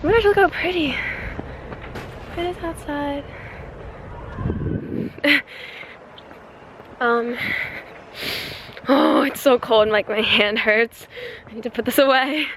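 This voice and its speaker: A woman's voice: sits high, slow, long pauses, fairly bright tone. Chatty young woman vlogging, noisy background. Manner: chatty, rambling, laughs often. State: congested, stuffy nose. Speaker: vlogger, exchange student. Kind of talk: casual vlog chat to camera. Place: dorm room, then outdoors on campus.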